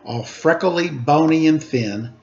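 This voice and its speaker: A man reading a rhyming children's picture book aloud in an expressive, playful, sing-song storytelling style. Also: a little high, slow pace. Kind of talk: animated read-aloud storytelling with rhyming verse. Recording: slightly dull, lo-fi home recording.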